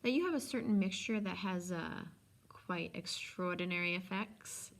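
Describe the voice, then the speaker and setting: A woman voicing an eager, nervous, lovesick young man in a storybook reading, hesitant and easily excited. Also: fairly low pitch, normal speed, lightly acted. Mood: hesitant, curious. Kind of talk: storybook read-aloud.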